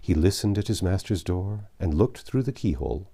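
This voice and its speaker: A man reading a classic novel aloud as a narrator, in a measured, expressive storytelling way. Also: sits low, slightly rough. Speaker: audiobook narrator.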